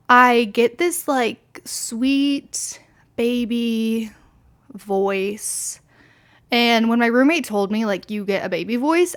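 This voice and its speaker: A woman's voice: fairly high pitch, creaky, a bit bright. A woman in her twenties, slightly tipsy, rambling candidly through a casual solo podcast with lots of fillers and swearing. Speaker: podcast host.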